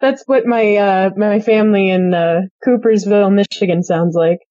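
A woman's voice: medium pitch, normal speed, mellow, no echo. A woman with an American accent chatting casually and playfully as a podcast co-host, on slightly dull audio. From Georgia, US. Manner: playful, slips into put-on accents. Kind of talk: casual chat.

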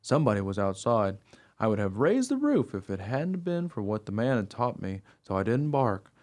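Man playing an eager, naive dog, animated storyteller. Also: on the low side, normal speed. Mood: earnest, guileless. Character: eager, naive, trusting young dog telling his story. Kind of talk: first-person radio play narration.

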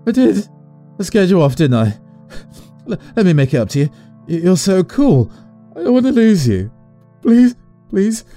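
A man's voice: sits high, sing-song, moderate pace, mellow, faint music behind. A man playing an anxious, needy young man in an audio horror drama, stammering and pleading. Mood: desperate pleading. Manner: stammering, nervously chuckling, pleading.

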